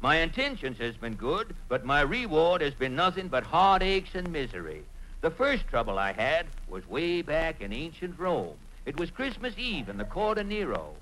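Grumbling old Santa, swinging pitch. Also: male voice, big pitch swings, moderate pace, a bit bright. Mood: weary, confiding. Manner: folksy rustic grammar, grumbling. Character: stubborn, grumbling old Santa Claus, folksy and world-weary. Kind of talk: old-time radio comedy acting. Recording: noisy old radio recording.

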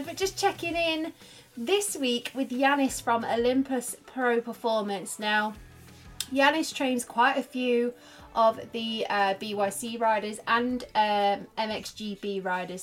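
A woman's voice: a little high, fairly bright tone. A woman hosting a podcast, chatty and friendly with frequent ums and uhs as she introduces and questions her guest. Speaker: podcast host. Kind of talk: casual podcast interview.

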